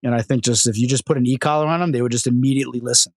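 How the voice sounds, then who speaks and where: A man co-hosting a podcast, telling animated stories and joking in casual chat. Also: mid-range voice, very fast, no room sound, studio quality. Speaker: podcast co-host.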